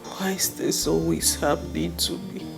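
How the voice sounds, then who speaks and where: A woman's voice: lowish voice, fairly even intonation, measured pace, creaky, over music. A woman playing a heartbroken young woman, tearful, mournful and sighing. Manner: mournful, sighing, self-pitying.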